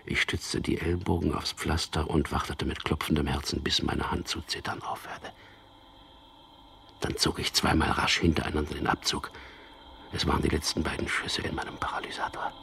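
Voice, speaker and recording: An actor playing a tormented, confused captured resistance fighter in a radio drama, hushed, halting and intense. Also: male voice, flat delivery, slight background noise, heavily acted. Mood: tense, hushed recollection. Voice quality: very low, breathy, gravelly whispery voice. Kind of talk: radio drama acting with first-person narration.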